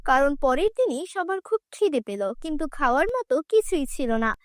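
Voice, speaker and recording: A woman narrates a scripted cartoon tale in a very high voice. The sound is dry, with no room around it. She speaks as the storyteller. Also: sing-song, brisk, dry recording.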